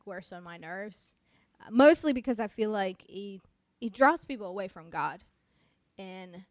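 A woman's voice: mid-range voice, a bit bright, no room sound, clean studio sound. A woman from Mexico with a light Spanish accent, Spanish her first language, chatting as a podcast co-host and pastor, laughing often and speaking with passion. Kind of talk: casual chat.

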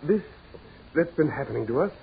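A man's voice: a little high, expressive, a little fast, creaky. An actor playing a proud, practical businessman fighting dread in 1940s radio horror, with theatrical, repetitive insistence. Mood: forced reassurance. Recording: scratchy, tinny 1940s radio recording with noise.

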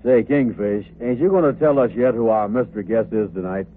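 An actor playing a slow-witted, gullible, folksy sidekick who mangles words and grammar in old radio comedy style. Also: male voice, lowish voice, lightly acted. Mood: dim, puzzled. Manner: drawling malapropisms, ungrammatical. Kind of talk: 1950s radio comedy acting. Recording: noisy, tinny old radio before studio audience.

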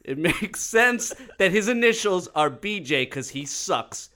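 A man's voice: high voice, animated, measured pace, sharp tone. A man co-hosting a podcast in casual, teasing, joking banter. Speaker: podcast co-host.

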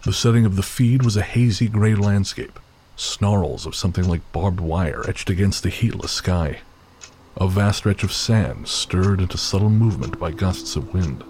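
A man's voice: low voice, moderate pace, a little mellow, husky. A man narrating a scripted literary horror story for an audio drama. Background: faint music.

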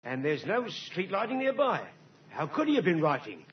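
An actor playing a keen, deferential detective sergeant in an old-time radio mystery, eager and respectful, on a vintage recording. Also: male voice, sits high, wildly swooping pitch, moderate pace, dull audio, slight background noise.

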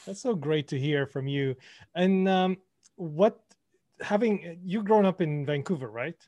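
A man hosts a podcast interview, and his voice sits somewhat high. He asks eager, halting questions and often restarts them. The sound is dry and close, with no room echo. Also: darkish tone. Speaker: podcast host.

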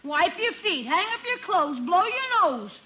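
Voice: extremely high pitch, very animated, measured pace, noisy background, heavily acted. An actor playing a brash, wisecracking young schoolboy in a 1940s radio comedy. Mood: sassy. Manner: brash, sassy. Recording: muffled old radio, loud audience.

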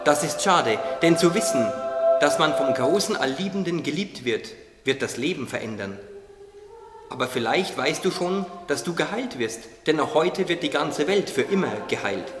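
A man's voice: on the high side, lively intonation, normal speed, close to an everyday voice. Man playing a wise caterpillar in an echoey hall. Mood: earnest, reassuring. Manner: earnest, explanatory, gently teasing. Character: wise, teasing caterpillar confidant, calmly preaching hope. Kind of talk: German religious audio drama acting. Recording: echoey reverberant hall, music underneath.

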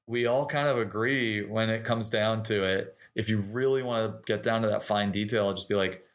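A middle-aged man with a fairly low voice speaks as a guest in a casual interview. He is a talkative musician who explains his craft informally, often slipping into imagined quotes to make a point. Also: steady tone, normal speed.

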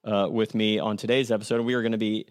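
A man's voice: fairly low pitch, level tone, fast talker, smooth. A man hosting a podcast, reading a sponsor message and interviewing a guest in a friendly, conversational way. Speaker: podcast host.

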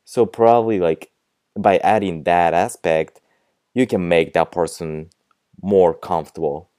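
Deep-voiced young man with Japanese accent. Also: unhurried, mellow, smooth, no echo, studio quality. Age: young adult. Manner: chatty, fills speech with "like" and "you know". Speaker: podcast host and language teacher. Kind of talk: casual podcast lesson.

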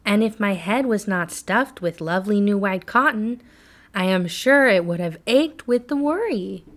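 A woman's voice: medium pitch, lively intonation, unhurried, pitched above the performer's own voice, lightly acted. A woman voicing a caring, earnest rag doll girl, worried but brave, in a children's storybook reading. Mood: worried, sad.

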